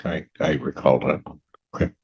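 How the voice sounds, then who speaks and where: An American man speaking as a judge from the bench, stern and deliberate, often restarting and repeating his phrases. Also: extremely low pitch, level tone, husky. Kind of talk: addressing a courtroom from the bench. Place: courtroom. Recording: dull, muffled courtroom audio.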